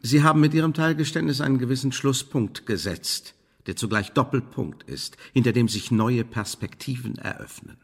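An actor playing a calculating intelligence official in a radio play, speaking in a formal, measured, officious way with quiet menace. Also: male voice, medium pitch, natural voice. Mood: coolly menacing.

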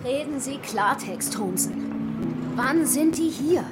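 Angry bitter survivor lady, high voice, biting and sarcastic, music behind her. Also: expressive, takes it slowly. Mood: sharp, sarcastic. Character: bitter, hostile woman survivor with explosive temper. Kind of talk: radio horror drama acting.

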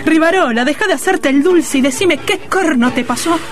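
A woman's voice: sits high, normal speed, music in the background, close to an everyday voice. An actress playing a bossy, exasperated wife and mother in a comic radio play, speaking emphatically with a Rioplatense accent. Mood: scolding. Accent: Argentine Rioplatense. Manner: scolding, emphatic.

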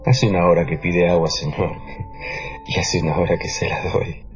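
Un actor de radionovela que interpreta a un pastor protestante temeroso y fanáticamente devoto, predicador, ferviente y repetitivo. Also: male voice, low voice, fairly even intonation, normal speed, highs cut off, faint music behind, close to an everyday voice. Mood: weary, grave. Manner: preachy, fervent, repetitive. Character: fearful, fanatically devout Protestant pastor. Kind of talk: radio drama acting.

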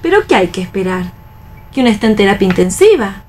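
An actress playing a defiant young newcomer at a factory in a radio play, outspoken and plaintive, with an Argentine accent. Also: female voice, mid-range voice, very animated, quick pace, darkish tone, a little hiss or hum, natural voice. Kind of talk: radio read theatre.

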